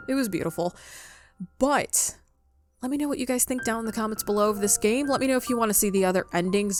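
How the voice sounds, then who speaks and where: Female gaming host, mid-range voice, casual and chatty with viewers, faint music underneath. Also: mid-range voice. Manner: chatty, affectionate toward viewers. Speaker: video game streamer, channel host. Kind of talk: casual gameplay commentary to viewers.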